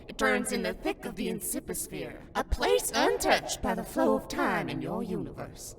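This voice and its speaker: A woman's voice: fairly high pitch, very animated, moderate pace, music in the background. A woman voicing a playful, doting grandmotherly ghost guide in a theatrical, storybook manner for a cartoon fandub. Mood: grand, mysterious. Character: playful grandmotherly ghost sprite in clown garb, mysterious guide. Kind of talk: fandub character acting.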